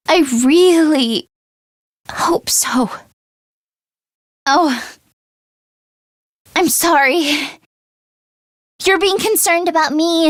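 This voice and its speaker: A high, youthful voice for a video game's anxious mechanic girl. She is sweet, expressive and earnest, quick to fret and put herself down. The recording is very dry, with no room sound. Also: no echo. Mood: wistful, then apologetic. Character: anxious teenage girl mechanic, self-deprecating, sweet, easily flustered. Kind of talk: video game character voice acting.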